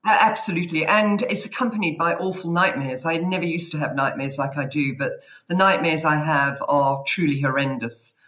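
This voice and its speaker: A woman's voice: sits low, a little fast, hardly any pauses, fairly bright tone. A middle-aged South African woman, a podcast guest, talking frankly and often repeating words as she goes. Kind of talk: casual chat over video call.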